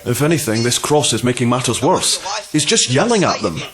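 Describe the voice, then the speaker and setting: An actor playing a harried male prime minister briskly giving orders over calls, in an audio drama. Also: medium pitch, lively intonation, crowd noise, natural voice.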